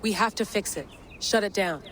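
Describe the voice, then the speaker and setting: A woman's voice: medium pitch, moderate pace, fairly bright tone, a little hiss or hum, close to an everyday voice. A woman playing a determined, intense modern field agent in a game cutscene, speaking directly in clipped phrases. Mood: resolute. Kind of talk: naturalistic game cutscene acting.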